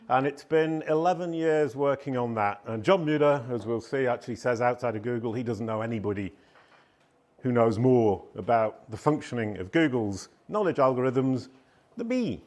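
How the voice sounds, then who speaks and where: Loud, animated man giving a talk. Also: medium pitch. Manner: loud, animated, self-deprecating storytelling. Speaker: conference speaker. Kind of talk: live conference talk. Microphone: handheld microphone.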